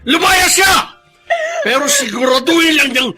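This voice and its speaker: A man's voice: extremely high pitch, big pitch swings, normal speed, raised voice, over music, heavily acted. A man playing a harsh, hot-tempered father in a radio drama, accusing and scornful. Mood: furious.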